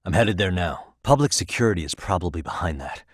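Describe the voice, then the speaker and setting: A streetwise male detective in a video game. His voice sits low with a slightly rough edge. He is determined and probing, with a dry wit, and is recorded tight with no room sound. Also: lively intonation, a little fast, no room sound. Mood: intent, serious. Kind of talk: scripted video game dialogue.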